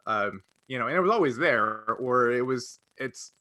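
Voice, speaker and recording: A man's voice: mid-range voice, lively intonation, normal speed, bright, forceful tone. A man co-hosting a casual podcast, explaining an idea while searching for his words. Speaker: podcast co-host.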